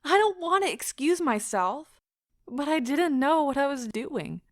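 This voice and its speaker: A woman playing an anguished, proud wife in an audio drama, speaking with deliberate emotional intensity. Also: high voice, animated, dry recording, clean studio sound. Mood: confessing, intense. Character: anguished, proud wife pleading as her marriage ends.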